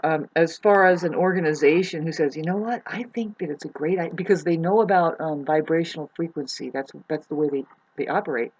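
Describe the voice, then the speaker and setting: A woman with a fairly low, husky voice rambles through a casual solo vlog. Her delivery is halting and meandering, and she often leaves a thought unfinished before picking up another. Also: a little mellow, dull audio. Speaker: vlogger. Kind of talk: rambling spoken monologue, casual chat.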